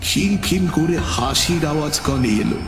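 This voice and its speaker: A man's voice: a little high, animated, normal speed. Suspenseful man narrating over music. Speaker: first-person storyteller. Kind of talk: dramatic scripted ghost story narration.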